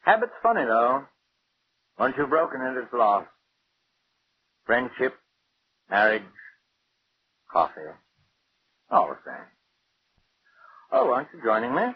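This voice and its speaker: A man's voice: mid-range voice, big pitch swings, slow pace, pauses often. An actor playing an urbane, sardonic husband who mocks his wife in genteel, theatrical tones, in 1940s radio drama style. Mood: jovial, musing. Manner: theatrical, mocking, genteel exclamations. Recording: dull, hissy 1940s radio recording.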